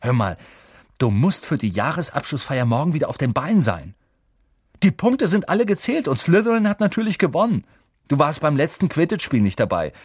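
Man voicing proud, chatty, animated schoolboy. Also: fairly high pitch, a little fast, slightly rough, no echo, clean studio sound, pitched above the performer's own voice. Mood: proud, urging. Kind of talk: audiobook character voice.

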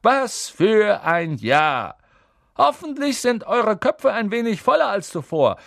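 A man's voice: high-pitched, sing-song, fast, creaky, fairly bright tone, no room sound, clean studio sound, pitched above the performer's own voice, heavily acted. A man voicing a playful, exuberant old headmaster in an audiobook, declaiming jovially. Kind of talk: audiobook character voice.

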